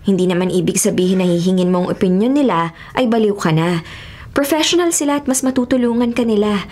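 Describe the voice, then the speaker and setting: A woman's voice: mid-range voice, animated, fast, darkish tone, natural voice. An actress playing a frightened, evasive young female college student in a Filipino radio horror drama. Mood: guarded. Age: young adult.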